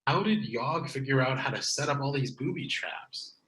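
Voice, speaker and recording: A man's voice: mid-range voice, animated, normal speed, a bit bright, a little hiss or hum, natural voice, poor audio. An actor playing a meek, flippant video store guy, glib and deferential, in a comedy table read. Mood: puzzled, casual.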